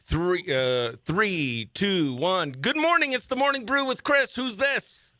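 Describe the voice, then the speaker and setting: A man's voice: sits high, animated, slow pace, pushed and bright, no echo, studio quality. An American man hosting a morning radio show, bantering informally and running call-in games in an upbeat, chummy way. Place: small radio station studio.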